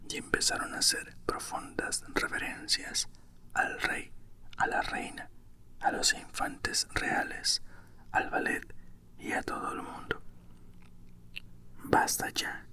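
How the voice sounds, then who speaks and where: A man with a Spain accent reading a children's story aloud in a breathy, unvoiced whisper, in the manner of an ASMR narration. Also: slow pace.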